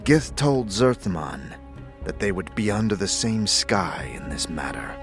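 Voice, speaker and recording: A man playing a solemn warrior-monk mentor, speaking in a grave, formal, measured way as in a fantasy audio drama. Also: lowish voice, very animated, over music. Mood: solemn, reverent.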